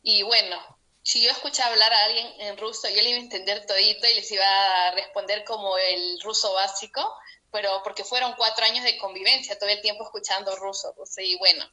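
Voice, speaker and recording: A woman's voice: medium pitch, steady tone, brisk, sharp tone, poor audio. A young Peruvian woman telling her story over a video call, heartfelt and laughing now and then. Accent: Peruvian Spanish. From Peru. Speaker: interviewee. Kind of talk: personal account in an interview.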